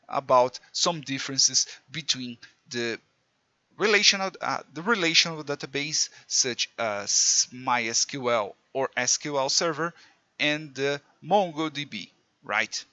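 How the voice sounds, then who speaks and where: A man's voice: on the high side, animated, a little slow, sharp tone, no room sound, lo-fi sound. A man with a Portuguese accent teaching a casual programming lesson, chatty and self-mocking, with slips in his English. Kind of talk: casual screen-recorded coding lesson.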